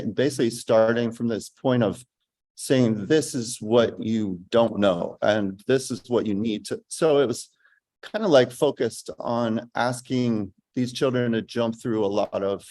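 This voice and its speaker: A man's voice: on the low side, a little mellow. A man speaking on a panel as a school director, thoughtful and restarting often. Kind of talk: panel discussion talk.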